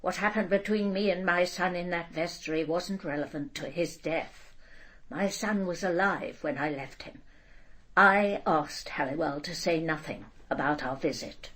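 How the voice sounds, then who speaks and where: An imperious old aristocratic matriarch in a radio play. Her voice is fairly low, and she speaks with cold precision and proud control. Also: measured pace, fairly bright tone. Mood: controlled, confiding. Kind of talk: radio drama acting.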